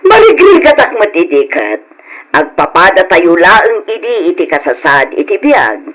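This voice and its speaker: A woman's voice: on the low side, big pitch swings, a little slow, fairly bright tone, exaggerated voice, rough recording. An actress playing a boastful, mocking middle-aged village woman in an old Ilocano radio drama, with an Ilocano accent and a gossipy, taunting delivery. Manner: taunting, gossipy, exaggerated sing-song swings.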